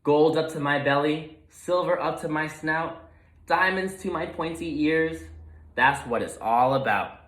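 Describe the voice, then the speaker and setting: Man putting on a greedy, excitable pig, pitched up. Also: unhurried, reverberant. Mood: gleeful, gloating. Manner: exclaiming, gloating. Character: greedy, impatient, excitable pig treasure hunter.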